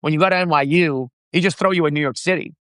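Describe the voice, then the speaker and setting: A young man from the Dominican Republic, a founder being interviewed, talking in an animated way with frequent laughs and restarts. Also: fairly high pitch, quick pace, dry recording, clean studio sound. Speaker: startup founder, guest. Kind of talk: casual interview chat.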